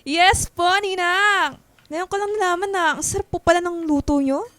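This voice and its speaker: A young goddaughter in a radio drama. Her voice is high and sweet. She speaks politely and eagerly, with a bashful edge. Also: moderate pace, fairly bright tone. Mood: sweet, teasing. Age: young adult. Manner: polite, eager, slightly shy. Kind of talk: Filipino radio drama acting.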